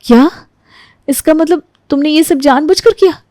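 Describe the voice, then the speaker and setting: A woman voices a stubborn married daughter in a story drama. She sounds emphatic and easily provoked, in a raised pitch with very little room sound. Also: moderate pace, warm tone, no room sound, studio quality, close to an everyday voice. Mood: angry. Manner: emphatic, indignant. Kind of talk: Hindi audio story character acting.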